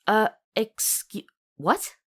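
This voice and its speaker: A woman's voice: drawn-out words, a bit bright, no room sound, studio quality. A woman playing a curious, skeptical young apprentice apothecary in a visual novel, with a wry, questioning manner. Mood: nervous.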